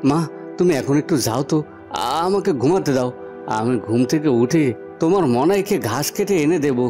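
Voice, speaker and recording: A man's voice: fairly high pitch, lively intonation, normal speed, mellow, lightly acted. A man voicing a poor young village son in a cartoon, grumbling yet dutiful and earnest. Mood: sleepy, grumbling. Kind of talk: cartoon voice acting. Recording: music behind the voice.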